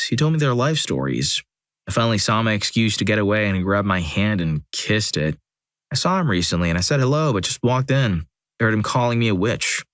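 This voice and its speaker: Low-voiced man reading stories dryly. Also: no room sound. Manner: steady reading with dry, wry asides. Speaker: narrator. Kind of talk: scripted story narration.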